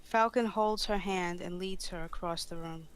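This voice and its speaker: A woman's voice: fairly low pitch, moderate pace, clean tone. A woman reading scripted stage directions as the narrator.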